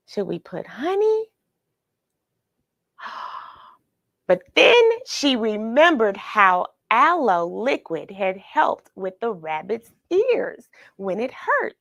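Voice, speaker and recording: A woman telling a children's picture story in an expressive way, pausing for chatty questions to the young listener. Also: medium pitch, vocal fry, dry recording. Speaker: children's read-along storyteller and host. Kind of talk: animated picture-book read-aloud with questions to young listeners.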